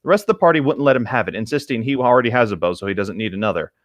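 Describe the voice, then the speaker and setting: A man's voice: medium pitch, animated, very fast, noisy background. A man casually reading a tabletop gaming story aloud as a host, in an informal, slightly exasperated way.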